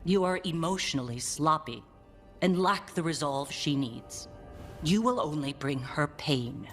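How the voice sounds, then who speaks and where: A woman's voice: deep voice, expressive, measured pace, fairly bright tone, full character voice. Stern scholar mother, middle-aged: formal, clipped, unfeeling, video game cutscene with light music underneath. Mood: cold, disapproving. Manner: stiff, formal, emotionless delivery. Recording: game audio with faint music behind.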